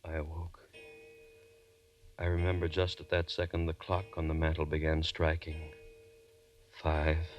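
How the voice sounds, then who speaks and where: Haunted condemned man, low dry voice, feverish and halting narration, 1940s radio horror. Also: dry recording. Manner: theatrical, halting, feverish first-person narration. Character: haunted condemned man recounting his nightmares, terrified, desperate. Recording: 1940s radio broadcast recording.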